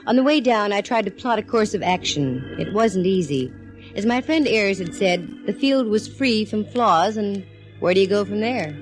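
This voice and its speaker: A woman's voice: mid-range voice, lively intonation, moderate pace, low-quality recording. A woman playing a sassy, wisecracking private eye who narrates a 1940s radio drama. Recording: old radio broadcast with music.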